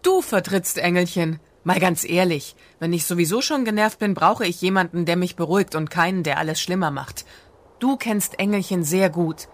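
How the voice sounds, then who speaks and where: A woman playing Santa's exasperated wife in a fairy-tale audio drama, grumbling, expressive and resolute. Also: on the low side, moderate pace, lightly acted. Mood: insistent. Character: Santa's wife, exasperated stand-in gift deliverer.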